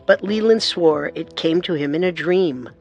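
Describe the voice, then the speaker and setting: A narrator delivering measured, deliberate scripted documentary narration. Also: mid-range voice, darkish tone, soft background music.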